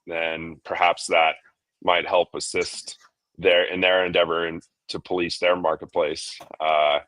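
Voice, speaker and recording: Low-voiced joking man, dry sound. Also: no room sound. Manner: relaxed, joking, halting with restarts. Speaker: podcast co-host. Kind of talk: casual podcast chat. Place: recently moved to the southern US.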